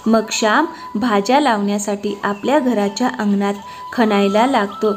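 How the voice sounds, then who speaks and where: A woman's voice: medium pitch, normal speed, faint music behind. A woman narrating a scripted moral story as its storyteller.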